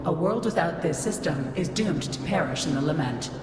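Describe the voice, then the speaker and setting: Low, echoing female computer. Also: lively intonation, moderate pace. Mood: cold, impassive. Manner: clipped, emotionless, formal machine-like delivery. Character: cold female computer system guarding human civilization. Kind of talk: scripted game voice acting. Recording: long echoing reverb, music behind.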